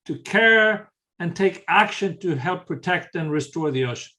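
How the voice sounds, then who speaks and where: Older Swedish-accented man, high voice. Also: expressive, unhurried, sharp tone. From Sweden. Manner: earnest, explanatory, restarts phrases. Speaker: ocean conservation leader. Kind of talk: interview answers.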